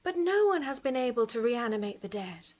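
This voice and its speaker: A woman's voice: fairly high pitch, big pitch swings, darkish tone. An actress playing a sly, bewitching, playfully sinister horror-show hostess, coy and arch, hosting a gothic radio play. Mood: teasing, sly. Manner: coy, seductive, arch, drawn-out. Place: festival theatre stage.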